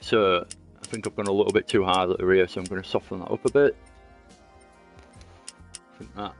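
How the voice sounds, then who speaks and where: A man giving casual, chatty commentary while playing a racing game, laughing often and teasing friends. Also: fairly low pitch. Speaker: sim racing streamer. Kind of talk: casual live-stream gameplay commentary. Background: music.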